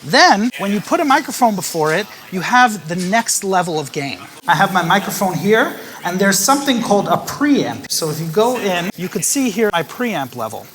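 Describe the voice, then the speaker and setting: A man teaching live sound setup in a music venue, explaining each gain stage calmly and speaking into a handheld vocal mic. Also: sits high, moderate pace, few pauses, slight background noise. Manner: calm, explanatory, stepping through each setting. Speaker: sound engineer and band leader teaching live sound. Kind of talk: hands-on tutorial with sung demonstrations. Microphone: handheld vocal microphone.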